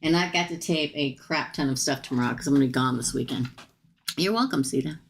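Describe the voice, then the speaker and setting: Gravelly low-voiced woman reading tarot. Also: lively intonation, fast, slight background noise. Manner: chatty, addresses viewers directly. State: tired, voice going hoarse. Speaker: tarot reader. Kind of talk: live-stream tarot reading.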